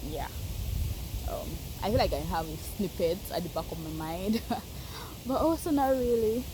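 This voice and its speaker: A woman with a Nigerian accent reflecting aloud in an unscripted, hesitant way, pausing to search for words. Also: medium pitch, expressive, noisy background. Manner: thoughtful, hesitant, searching for words, soft laughs. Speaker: participant sharing reflections. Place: outdoors.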